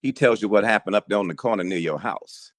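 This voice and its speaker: A man with a very deep voice, talking as a radio news anchor in a relaxed interview. He tells stories with emphatic, lively asides, and his voice sounds close and dry with no room around it. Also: quick pace, no room sound. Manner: storytelling, emphatic, animated asides. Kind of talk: casual interview talk.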